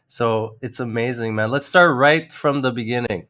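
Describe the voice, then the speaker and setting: A man's voice: mid-range voice, moderate pace, pushed and bright. A man hosting a casual podcast, upbeat and hyping up his guest as he asks questions. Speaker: podcast host.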